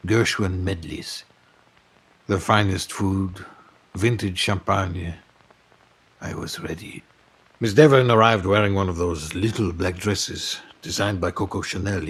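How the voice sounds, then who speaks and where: A man's voice: low voice, a bit bright, husky, heavily acted. A man playing a wealthy, pompous French film producer, lovesick and vengeful, telling his story in florid, melodramatic audio drama. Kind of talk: dramatic first-person storytelling in audio fiction.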